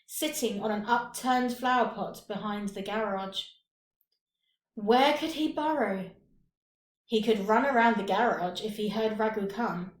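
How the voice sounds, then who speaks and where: A woman narrates a short story in a fairly high voice. Her reading is very slow and expressive, with dramatic pauses between phrases. The room adds a clear echo. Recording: roomy, echoing room.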